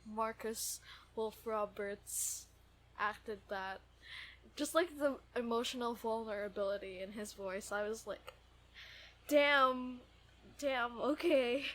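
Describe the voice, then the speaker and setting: A woman in her twenties chatting casually as a podcast co-host, gushing, excitable and quick to swear. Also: fairly high pitch. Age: 20s. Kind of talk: casual fan podcast chat.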